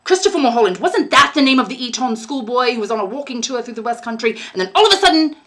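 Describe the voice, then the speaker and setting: A woman reading a storybook aloud as a polite, puzzled young lodger, a young man who talks in an earnest, halting, musing way. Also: a little high, animated, a bit bright, pitched above the performer's own voice. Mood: searching memory.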